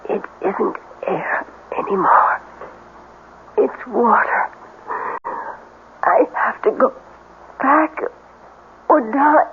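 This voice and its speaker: A tender, otherworldly swamp woman, dying and beguiling. She speaks very slowly in a hushed, dramatic way, through a tinny, noisy old radio broadcast. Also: high-pitched, expressive, gravelly, exaggerated voice. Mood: yearning. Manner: slow, halting, dramatic phrasing.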